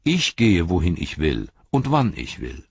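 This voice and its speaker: A man's voice: low-pitched, huge pitch swings, unhurried, husky, no room sound, dull audio, pitched above the performer's own voice, full character voice. A man doing cool, ironic Frodo. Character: calm, amused, dignified male hobbit.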